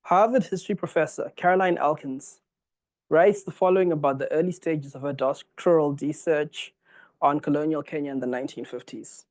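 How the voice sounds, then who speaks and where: A man from Zimbabwe in his forties delivers a formal public lecture. His voice sits a little high for a man, and he reads in a measured, earnest way, lifting key words for emphasis. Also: darkish tone.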